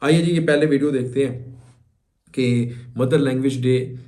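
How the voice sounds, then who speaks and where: A man's voice: medium pitch, noisy background. A man from Pakistan hosting a news reaction video, lecturing in an indignant, emphatic way.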